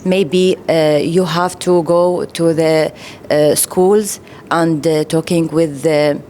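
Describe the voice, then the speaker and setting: Slow, halting Arabic-accented woman. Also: deep voice, fairly even intonation, mellow, a little hiss or hum. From Iraq. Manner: halting, many fillers and restarts. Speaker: round-table participant. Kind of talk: panel discussion remarks.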